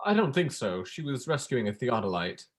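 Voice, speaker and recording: Flippant, self-centred male academic for stage drama, played by a man; dismissive, very animated intonation. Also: mid-range voice, normal speed, lightly acted. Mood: glib, curt. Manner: glib, dismissive. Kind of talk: staged play reading.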